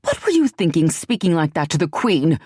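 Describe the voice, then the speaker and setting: A woman's voice: low-pitched, big pitch swings, brisk, mellow, slightly rough, no room sound, studio quality, full character voice. A woman voicing an indignant middle-aged mother who scolds her grown daughter. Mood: outraged.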